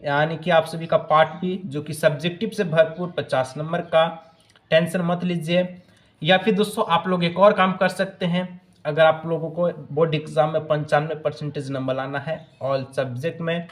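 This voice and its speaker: A man walks students through exam questions. He addresses them as friends, repeats each question and then gives the answer. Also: fairly high pitch. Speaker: teacher, exam-prep presenter.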